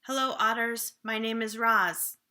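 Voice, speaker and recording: Storybook robot, female, acted by a woman reader, courteous and friendly, raised bright voice, simple formal phrasing. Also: fairly high pitch, measured pace, voice pushed up. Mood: polite greeting. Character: polite, friendly female robot introducing herself to animals.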